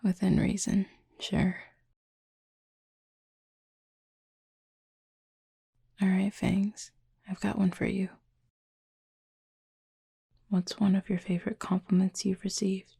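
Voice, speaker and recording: Casting: actress for a reflective, guarded female cupid in intimate roleplay audio. Mellow, very breathy and husky, gently formal, close and dry. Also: mid-range voice, monotone, dry recording, heavily acted. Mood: relaxed, playful. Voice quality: soft, breathy, husky with creak. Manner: thoughtful, measured, gently formal.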